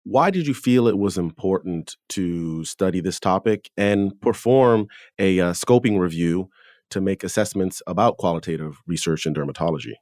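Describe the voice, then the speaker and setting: Deep-voiced man hosting a podcast. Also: normal speed, a little mellow, no echo, studio quality. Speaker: podcast host and interviewer. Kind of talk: scripted podcast introduction and interview.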